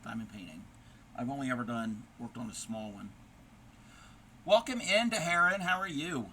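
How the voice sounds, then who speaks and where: Chatty man with a scratchy, very bright voice. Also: medium pitch, lively intonation, normal speed. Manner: chatty, explains stitches step by step, reads out and answers viewer comments. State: voice turning scratchy, losing his voice. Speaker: crochet livestream host. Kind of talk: casual chat while crafting, answering viewers.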